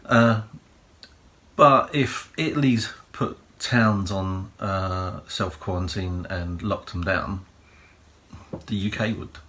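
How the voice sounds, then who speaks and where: Croaky man, rambling advice. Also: low-pitched, slow pace, a bit bright. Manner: rambling, many fillers and "you know"s. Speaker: prepping channel host. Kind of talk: casual advice monologue.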